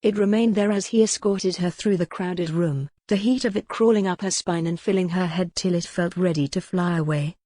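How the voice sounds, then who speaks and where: Female audiobook narrator for historical romance, lower-pitched voice, dry close studio sound. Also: normal speed, hardly any pauses, warm tone, no echo.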